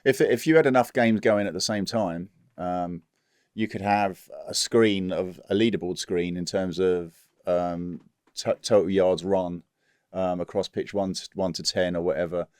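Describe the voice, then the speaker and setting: Low, monotone male host. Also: a little slow, no room sound, clean studio sound. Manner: dull, monotone delivery. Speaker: podcast host. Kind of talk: casual business interview.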